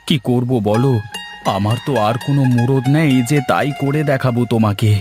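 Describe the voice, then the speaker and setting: Poor village husband for a cartoon folk tale, male actor: mid-pitched, plain-spoken, emphatic, worried, over background music. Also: normal speed, lightly acted. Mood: resigned. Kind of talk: cartoon dialogue voice acting. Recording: music behind the voice.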